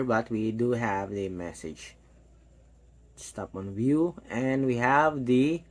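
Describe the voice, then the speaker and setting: Guy doing a casual gadget demo, really slow pace, short halting phrases, medium pitch. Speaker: product reviewer.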